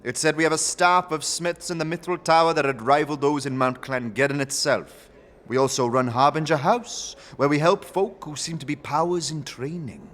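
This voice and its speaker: A man's voice: a little high, moderate pace, a bit bright, long reverb tail, pitched above the performer's own voice, lightly acted. A man voicing a sturdy, jovial older faction leader in a fantasy audio drama, with grand, expansive, theatrical phrasing. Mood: genial, boastful.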